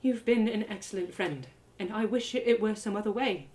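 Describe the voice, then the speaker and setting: A woman voicing a proud young elven woman in a story, guarded and formal, speaking with measured resolve. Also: medium pitch, darkish tone, natural voice. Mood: guarded, regretful.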